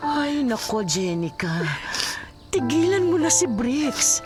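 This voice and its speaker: A woman's voice: sits high, sing-song, slow, exaggerated voice. A woman playing a blunt, protective middle-aged mother in a radio drama, nagging in an exasperated, colloquial way. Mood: exasperated scolding. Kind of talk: Filipino radio drama acting. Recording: music bed under the dialogue. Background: music.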